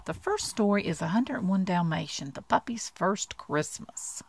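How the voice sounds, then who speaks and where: A woman reading a bedtime storybook aloud in an expressive storytelling style. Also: medium pitch, normal speed, gravelly, a little hiss or hum. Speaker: storybook reader.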